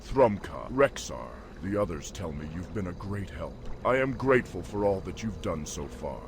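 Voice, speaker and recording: Deep, noble orc war chief. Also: male voice, sing-song, music in the background. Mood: grave, resolute. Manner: measured, formal. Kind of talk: video game character dialogue.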